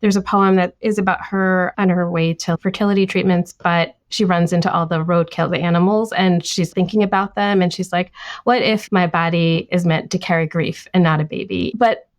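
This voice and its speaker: A woman's voice: fairly low pitch, moderate pace. A woman author whose first language is Tamil, talking reflectively in a podcast interview. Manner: thoughtful, lively, reads passages aloud. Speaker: author guest.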